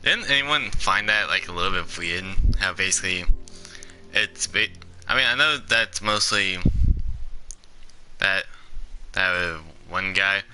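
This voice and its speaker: A man's voice: sits low, takes it slowly, long pauses, pushed and bright, soft background music, rough recording. A young man giving casual, rambling commentary while playing a game, leaning on "basically" and laughing often. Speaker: gaming YouTuber.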